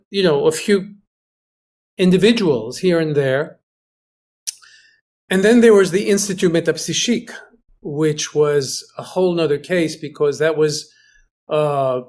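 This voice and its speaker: A man from Greece speaking as an interview guest, recollecting conversationally and often pausing to find his words. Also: fairly high pitch, a little mellow. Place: remote internet video call.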